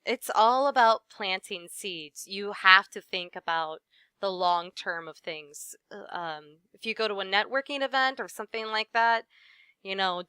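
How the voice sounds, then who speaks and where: A woman voice actress giving advice in a casual interview, friendly and quick to laugh, with lots of "you know.". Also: mid-range voice, pushed and bright, clear, resonant tone, no room sound.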